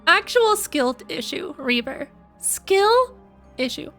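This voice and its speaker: A young adult woman giving casual, playful and expressive reaction commentary as a game streamer. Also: extremely high pitch, slow pace, soft background music.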